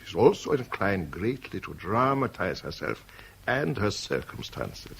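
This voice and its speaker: A man's voice: sits low, huge pitch swings, measured pace, creaky, slight background noise, full character voice. An actor playing an urbane, wily older man in a radio drama, speaking in a suave, theatrical and patronising way. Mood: urbane, confiding.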